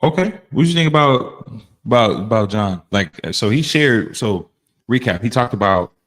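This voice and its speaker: A man's voice: mid-range voice, expressive, normal speed. A man hosting a casual reaction chat, laid-back and rambling, with lots of fillers. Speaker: host.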